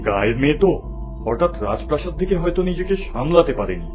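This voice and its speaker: A man voicing a dignified, doting king and father in an animated fairy tale, benevolent and stately. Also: a little high, quick pace, music in the background. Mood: reassuring.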